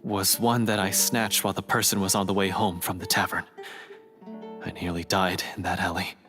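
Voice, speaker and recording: A man's voice: low voice, flat delivery, moderate pace, lightly acted. An actor playing a guilt-ridden, self-doubting young male hunter in a video game, with an earnest, hesitant delivery. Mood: regretful. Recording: music clearly behind the voice.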